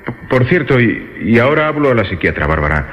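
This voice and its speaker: A man's voice: mid-range voice, big pitch swings, fast talker, long reverb tail, a little hiss or hum, close to an everyday voice. An actor playing an uneasy man unsettled by a haunted portrait, in a radio horror drama. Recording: muffled lo-fi radio drama recording.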